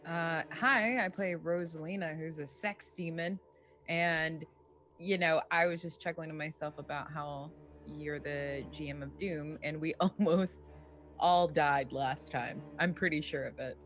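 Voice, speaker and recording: A woman's voice: deep voice, fairly even intonation, unhurried, a bit bright, soft background music. A woman introducing her tabletop character, rambling and giggly. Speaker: player. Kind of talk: casual tabletop chat.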